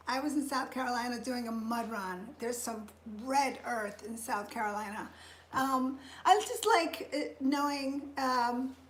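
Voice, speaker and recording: Woman, fifties, live-stream fitness host; high voice, slow and chatty, greets viewers by name, roomy echo. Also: a bit bright, slight background noise. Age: 50s. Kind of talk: live-stream casual talk. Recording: echoey room.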